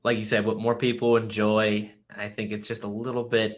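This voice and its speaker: A man's voice: on the low side, completely flat delivery, normal speed. A man hosting a podcast, chatting casually and playfully, often repeating words as he starts sentences. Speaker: podcast host. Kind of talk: casual chat.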